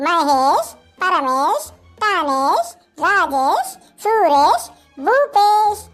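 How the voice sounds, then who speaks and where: A woman's voice: very high-pitched, big pitch swings, extremely slow, a bit bright, faint music behind, heavily acted. A woman voicing a coy, chatty young bride-to-be in a comic cartoon, sounding innocent and blithe.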